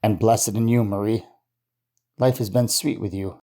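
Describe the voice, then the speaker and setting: A man playing a gentle, absent-minded scientist husband in an old time radio drama, musing and hesitant. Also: lowish voice, fairly even intonation, unhurried. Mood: musing, tender.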